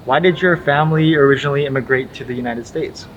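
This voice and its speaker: A man's voice: medium pitch, fast, a bit bright, highs cut off. A young man from Hawaii hosting a casual conversation in an easygoing manner, laughing often. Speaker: host. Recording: noisy background.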